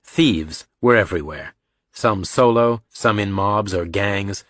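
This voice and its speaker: Male audiobook narrator, lowish pitch, dry close-miked scripted storytelling. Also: takes it slowly, fairly bright tone, no room sound.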